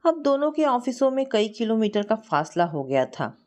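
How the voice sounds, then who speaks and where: A woman narrating a scripted story in an expressive, sentimental manner. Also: fairly high pitch, normal speed.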